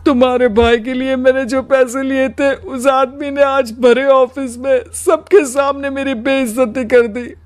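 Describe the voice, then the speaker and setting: A woman's voice: high-pitched, fairly even intonation, unhurried, hardly any pauses, voice pushed up. A woman voicing a weary, put-upon husband in a cartoon story, plaintive and sighing. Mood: tearful, anguished.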